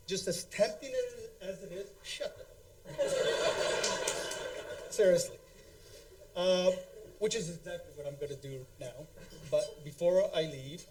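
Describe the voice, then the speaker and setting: A man's voice: a little high, darkish tone, low-quality recording. A man giving a joking wedding toast with marriage advice, laughing as he talks. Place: wedding reception hall.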